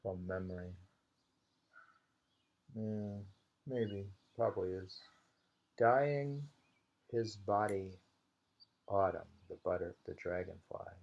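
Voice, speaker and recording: A man reading short poems in an unhurried, halting way, repeating lines slowly and musing on them informally in a dull, lo-fi home recording. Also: low voice, creaky, a little mellow. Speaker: reader of a poetry anthology.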